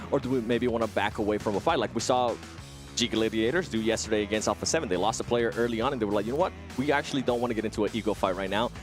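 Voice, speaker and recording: A man whose first language is not English, giving esports analysis in casual desk chat. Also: on the low side. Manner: casual, quick, conversational.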